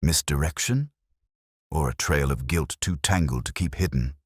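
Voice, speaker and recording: Man reading as a puzzled, deep-voiced police sergeant. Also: normal speed, slightly rough, no echo, clean studio sound.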